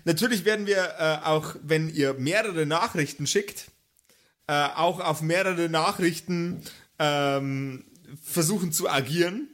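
Guy running a pen and paper podcast, voice a bit high, stumbles and repeats himself. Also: a little slow, a bit bright. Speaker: game master of a podcast. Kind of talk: improvised tabletop game narration and banter.

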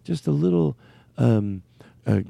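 A man's voice: mid-range voice, lively intonation, slow, vocal fry, mellow, dry recording. A man in his thirties hosting a comedy show, rambling and playful, stammering and laughing as he jokes. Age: 30s. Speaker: comedy podcast host. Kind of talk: improvised comedy banter.

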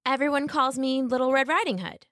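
A woman voicing a polite, cheerful little girl in a storybook, speaking in a high, earnest way. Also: steady tone, a little fast, a bit bright, dry recording, clean studio sound.

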